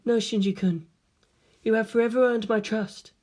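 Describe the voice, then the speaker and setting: A narrator voicing an eerily calm, formal teenage girl in a story reading, with measured and devoted delivery. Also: mid-range voice, voice pushed up, natural voice. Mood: serene, detached.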